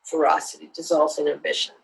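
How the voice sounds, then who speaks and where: A woman's voice: sits low, monotone, gravelly, rough recording. Earnest woman teaching self-defense. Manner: earnest, motivational, measured. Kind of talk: guest interview on an online summit.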